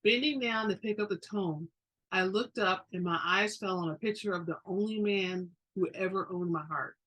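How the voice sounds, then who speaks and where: A woman narrating a story in an expressive, theatrical way, as the reader and host. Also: medium pitch, moderate pace, rough recording. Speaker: book reader and channel host. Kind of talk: dramatic audiobook narration.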